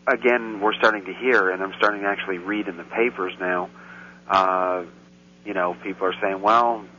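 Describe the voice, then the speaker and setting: A man's voice: deep voice, fairly even intonation, normal speed, bright, forceful tone. A man talking as a guest on a radio show, rambling and getting indignant, on a dull, faintly hissy recording. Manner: rambling, grows heated and indignant. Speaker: guest commentator. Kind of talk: casual radio chat. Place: Central Europe.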